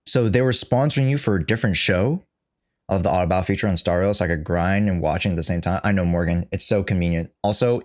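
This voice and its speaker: A young man chatting casually as a livestreamer, reacting to a game story in an informal, joking, sweary way. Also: low-pitched. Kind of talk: casual livestream chat and commentary.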